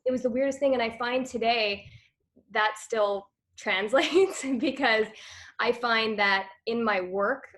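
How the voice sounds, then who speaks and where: A woman's voice: on the high side, measured pace. A woman in her thirties from Montreal, a guest actress talking casually in an interview and telling stories with frequent laughs. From Canada, Montreal. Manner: chatty, laughs often, animated storytelling.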